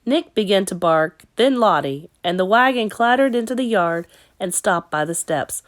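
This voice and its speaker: A woman teacher narrating a children's story in an expressive read-aloud style. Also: medium pitch, normal speed.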